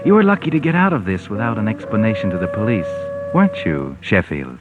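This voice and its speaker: All-knowing American storyteller, sly, lowish, animated, 1940s radio mystery, thin narrow sound. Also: male voice, quick pace. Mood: sly, taunting. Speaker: omniscient mystery narrator. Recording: tinny 1940s radio, music behind.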